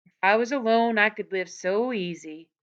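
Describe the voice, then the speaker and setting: A woman reading aloud, voicing a weary, terse man who speaks in a flat, wooden rural drawl. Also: medium pitch, moderate pace, highs cut off, close to an everyday voice.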